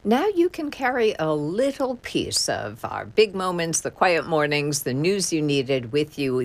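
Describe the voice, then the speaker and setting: A woman, a local station announcer, reading a scripted promotional spot. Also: sits low.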